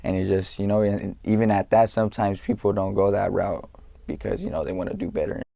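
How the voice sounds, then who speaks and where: A young man from California, a guest in an interview, talking in a laid-back, halting way with street slang and lots of fillers. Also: on the low side, steady tone, creaky, dark tone, dry recording. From Norwalk, California. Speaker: guest, rapper. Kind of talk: casual interview talk.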